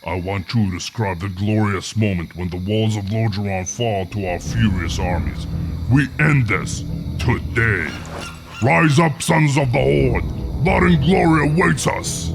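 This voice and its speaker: A man's voice: mid-range voice, unhurried, gravelly. An actor playing a proud, bloodthirsty orc war chief in a video game, rallying his horde with grand, commanding oratory. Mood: grim satisfaction. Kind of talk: dramatic video game villain acting. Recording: loud music under the voice.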